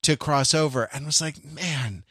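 A man's voice: fairly high pitch, unhurried, a bit bright, slightly rough, no echo, studio quality. A man from Los Angeles co-hosting a podcast, talking in a rambling, digressive way and correcting himself as he goes. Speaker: podcast co-host. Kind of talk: casual chat.

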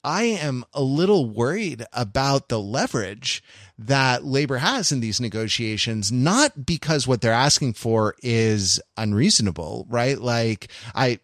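Male podcast co-host, mid-pitched, close dry sound, conversational, circles back and repeats himself as he thinks aloud. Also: no echo. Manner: rambling, repeats and restarts phrases mid-thought. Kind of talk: casual podcast discussion.

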